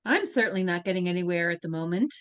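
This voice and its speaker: A woman's voice: fairly low pitch, lively intonation, quick pace, fairly bright tone, clean tone, no echo. A woman voicing a determined but stammering teenage girl, read over a video call. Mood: earnest. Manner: hesitant, stammering.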